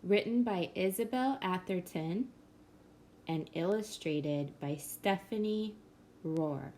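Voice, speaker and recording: A woman reads a children's picture book aloud, taking her time over each phrase. Her pitch sits in the middle of the range, and the reading stays gentle and unhurried. A faint hiss of room noise runs underneath. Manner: slow, gentle storybook reading. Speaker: storyteller.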